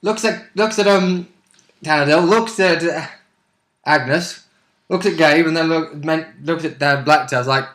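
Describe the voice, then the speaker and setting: A man chatting casually as a player about a tabletop role-playing game, retelling it in halting bursts with quoted lines. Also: a little high, fairly bright tone. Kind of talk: casual chat. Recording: echoey room sound.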